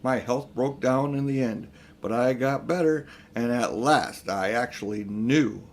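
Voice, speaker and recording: A man's voice: mid-range voice, unhurried, slight background noise, close to an everyday voice. A man voicing a pompous, vain, selfish elderly magician uncle in a storybook reading, with a grandiose, self-important manner. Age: older. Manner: grandiose, self-important, indignant when challenged.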